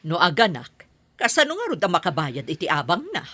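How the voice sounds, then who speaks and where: A woman playing a sympathetic, wistful middle-aged landlady with a husky voice in an Ilocano drama. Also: on the low side, huge pitch swings, brisk, sharp tone, exaggerated voice. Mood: concerned. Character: motherly landlady, sympathetic, wistful, longing for a child. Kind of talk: Ilocano radio-style comedy drama acting.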